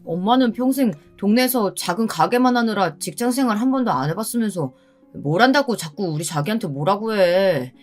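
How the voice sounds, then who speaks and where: A woman's voice: medium pitch, animated, fairly quick, a little mellow, faint music behind, lightly acted. A woman voicing an adult son who protests and defends his girlfriend, in a dramatized story narration. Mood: protesting. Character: adult son, defensive boyfriend, whiny but devoted.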